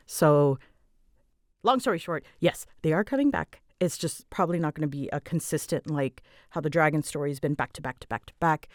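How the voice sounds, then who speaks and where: A woman's voice: low-pitched, no echo, studio quality. A woman chatting casually and unscripted as she answers fan questions, rambling and self-deprecating, often breaking off mid-sentence. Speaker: audio roleplay creator answering fan questions.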